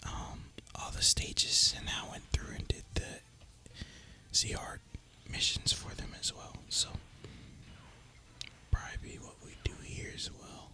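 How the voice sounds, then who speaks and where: A young man softly whispering ASMR gameplay commentary in a breathy, casual, rambling way. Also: slow. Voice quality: soft breathy unvoiced whisper. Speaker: gaming ASMR YouTuber.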